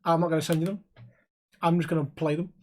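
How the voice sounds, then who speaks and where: A man co-hosting a podcast, with a fairly high voice for a man. He swears a lot, laughs easily, and slips into acted-out quotes mid-story. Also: flat delivery, fast, darkish tone, a little hiss or hum. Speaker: podcast co-host. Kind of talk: casual podcast banter.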